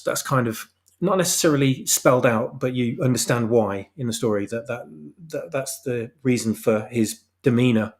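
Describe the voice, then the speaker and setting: Halting, emphatic man explaining his own story. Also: medium pitch, darkish tone. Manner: halting, emphatic, repeating phrases for stress. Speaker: author, interview guest. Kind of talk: casual explanatory chat about own story.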